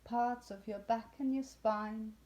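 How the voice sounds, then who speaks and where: A woman leading a guided yoga nidra meditation in a soothing, unhurried way with long pauses. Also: fairly high pitch, steady tone, warm tone.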